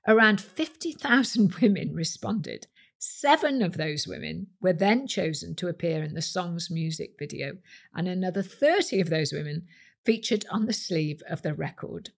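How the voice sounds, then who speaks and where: British woman quiz host, very animated intonation. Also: on the low side, normal speed, gravelly, husky. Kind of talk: scripted quiz narration addressed to listeners.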